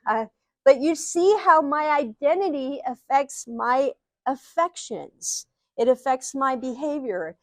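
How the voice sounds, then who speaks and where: A woman's voice: high voice, expressive, slow, no echo. A middle-aged woman from Ohio with a Midwestern accent, teaching an audience earnestly and often posing rhetorical questions. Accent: Ohio Midwestern American. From Ohio, USA. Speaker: speaker, psychologist. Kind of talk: live teaching talk to an audience.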